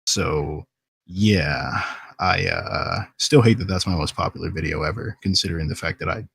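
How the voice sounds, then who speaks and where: A man with a lowish, extremely husky voice full of fry tells a story casually. He is candid and self-deprecating, recorded close and dry. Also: moderate pace, fairly bright tone, no echo. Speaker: voice actor guest.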